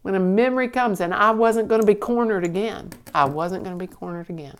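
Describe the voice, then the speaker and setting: A woman with a deep voice co-hosting a podcast, telling a painful memory candidly, swearing freely and laughing through the hard parts. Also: brisk, smooth. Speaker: podcast co-host. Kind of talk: candid conversational storytelling.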